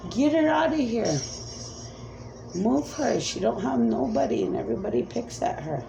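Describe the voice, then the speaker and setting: A woman's voice: a little high, huge pitch swings, normal speed, creaky, warm tone, slight background noise, low-quality recording. A woman speaking as a self-described spirit medium in a rambling, emphatic monologue, pleading and repeating commands.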